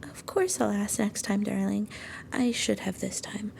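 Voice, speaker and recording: A woman playing a sweet, doting young anime girlfriend in a soothing ASMR roleplay, affectionate and gently coaxing. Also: mid-range voice, steady tone, measured pace, vocal fry, a little hiss or hum. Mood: tender, unsure.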